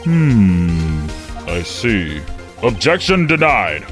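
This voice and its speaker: A man playing a ponderous, easily puzzled judge, formal and deliberate, in a courtroom game fandub. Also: on the low side, sing-song, a bit bright, full character voice. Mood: pondering. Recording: music behind the voice.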